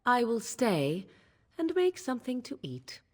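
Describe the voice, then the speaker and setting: A woman's voice: on the high side, wildly swooping pitch, measured pace, full character voice. An actress playing a cool, disdainful young witch in a video game, with dry, formal, archaic phrasing. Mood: guarded.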